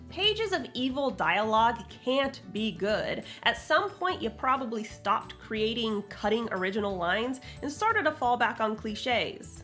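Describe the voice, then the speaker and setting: A woman's voice: fairly high pitch, pushed and bright. A woman host presenting scripted writing advice in a blunt, sassy, emphatic way with punchy delivery.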